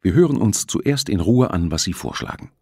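A man voicing a cautious, measured, placating male police deputy chief in an audiobook. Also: lowish voice, expressive, mellow, breathy edge, no room sound, clean studio sound.

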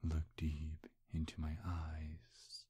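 A man playing a smug, seductive demon in an intimate ASMR roleplay, breathy and husky, teasing in a hushed, hypnotic way. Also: rumbling low, completely flat delivery, drawn-out words, no room sound, heavily acted. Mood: hypnotic, coaxing. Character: seductive, smug male demon posing as a handsome gentleman.

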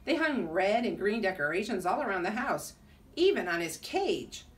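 A middle-aged woman teacher reading a children's storybook aloud in an expressive way. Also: medium pitch, moderate pace. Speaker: second grade teacher reading a bedtime story. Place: small school room, indoors. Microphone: camera a few feet away. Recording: distant mic in a room.

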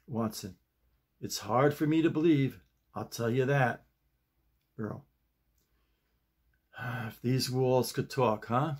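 Slow-paced older man. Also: medium pitch, slightly rough. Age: older, around 70. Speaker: YouTube host and playwright reading own work. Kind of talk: script reading and casual vlog talk. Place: small home office, indoors.

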